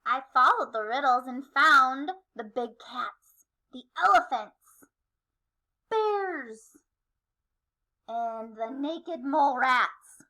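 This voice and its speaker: A woman reading a children's story aloud in expressive, rhythmic, sing-song rhyme. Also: high voice, slow, pauses often, poor audio. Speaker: storybook reader.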